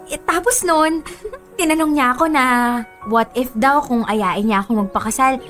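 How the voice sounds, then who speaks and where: A woman's voice: high voice, normal speed, a bit bright, soft background music. An actress with a Filipino accent playing a giddy, girlish young bride-to-be in a radio drama, gushing to her friend. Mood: happy, gushing.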